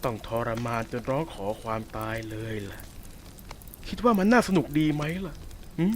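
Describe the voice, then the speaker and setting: A man voicing a sadistic, possessive werewolf who taunts a captive listener in a roleplay audio drama. He speaks softly and teasingly, with a menacing edge. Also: mid-range voice, sing-song, moderate pace, background noise, natural voice.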